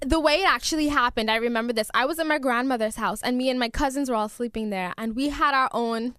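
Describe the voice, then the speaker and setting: A woman's voice: fairly high pitch, fast, a bit bright, smooth, no echo. A fifteen-year-old girl from the Bahamas, chatting as a guest in a radio studio interview in a bubbly, giggly way. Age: teenager, 15. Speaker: guest singer. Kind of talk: casual interview.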